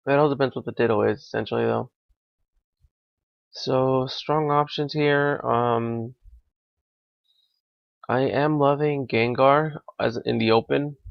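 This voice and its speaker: A young man with a raspy, gravelly voice that is a little hoarse from being sick. He thinks out loud through a competitive match with plenty of ums and uhs. The sound is dull and lo-fi, with almost no room around it. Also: mid-range voice, no room sound. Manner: thinking aloud, with frequent fillers. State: slightly hoarse from illness. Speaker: gaming commentator. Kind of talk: live competitive game commentary.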